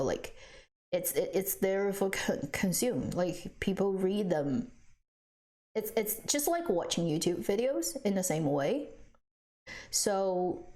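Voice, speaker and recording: A woman's voice: on the low side, darkish tone. A woman whose first language is Chinese, chatting casually on a live stream and answering viewers. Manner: chatty, frequent restarts, laughs often.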